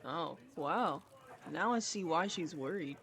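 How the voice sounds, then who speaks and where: A man acting a courteous, guarded company boss in a fantasy audio drama, speaking in a measured way. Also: a little high, lively intonation, fairly bright tone. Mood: curious, sympathetic. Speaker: voice actor in an audio roleplay.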